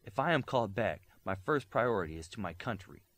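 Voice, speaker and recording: Man acting a firm young superheroine, pitched up. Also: animated, a little fast, fairly bright tone. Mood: resolute.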